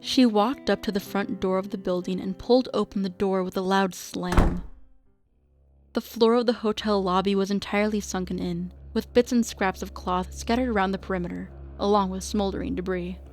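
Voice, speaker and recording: A woman's voice: mid-range voice, fairly even intonation, moderate pace, darkish tone. A woman reading scripted narration for a radio drama. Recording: guitar music under the voice.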